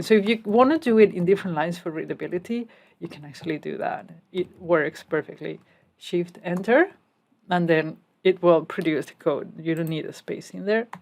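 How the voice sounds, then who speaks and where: A woman's voice: on the low side, a little mellow. A woman from Spain giving a casual step-by-step coding tutorial as she types. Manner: explains step by step while typing, casual asides. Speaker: programming instructor.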